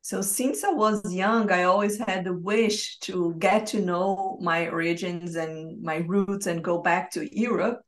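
A woman with a Brazilian Portuguese accent and a slightly low voice. She speaks as a podcast guest, telling her own story in a conversational way. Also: steady tone, a little slow, few pauses. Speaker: podcast guest, expat family coach. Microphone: remote call.

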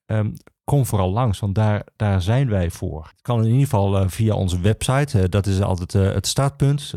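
A man speaking as a guest in an informal podcast interview, explaining his work as an archivist in halting phrases with frequent pauses. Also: fairly low pitch, dark tone, no room sound, studio quality.

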